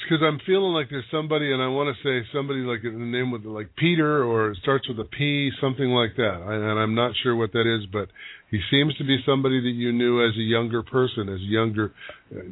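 Halting man with a tinny radio sound. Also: mid-range voice, dry recording. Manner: halting, searching, many restarts. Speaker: psychic medium, show regular. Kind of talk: live call-in radio reading. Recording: dry, narrow phone-like broadcast sound.

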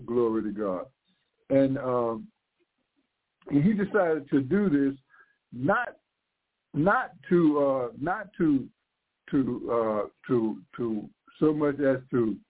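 Congested older pastor on a phone-like line. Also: male voice, medium pitch. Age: 60s. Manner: fervent, repeats and restarts phrases. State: congested from flu. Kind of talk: live radio sermon. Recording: narrow phone-like radio sound.

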